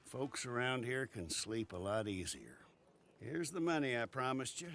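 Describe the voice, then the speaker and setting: A man's voice: medium pitch, big pitch swings, normal speed, a bit bright, slight background noise. A man playing a stern, self-satisfied lawman with an emphatic manner in a western video game. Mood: gruff satisfaction. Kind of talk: video game cutscene acting.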